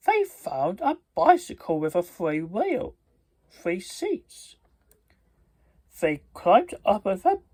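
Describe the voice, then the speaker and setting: A storybook reader and video host with a halting, careful delivery and stumbles, reading aloud in a husky voice. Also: medium pitch, a little mellow, no echo. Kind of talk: read-aloud storybook narration.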